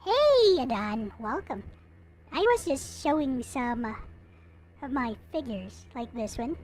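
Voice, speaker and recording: A woman's voice: high-pitched, very animated, slow pace, darkish tone. A woman hosting a livestream, chatting casually to viewers and replying to their comments. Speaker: livestream host. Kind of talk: casual livestream chat showing collectible figures.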